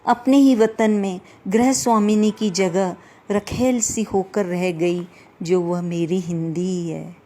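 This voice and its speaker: A woman reciting a poem with slow, impassioned delivery at a Hindi day event. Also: medium pitch, fairly even intonation, mellow. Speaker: poetry reciter.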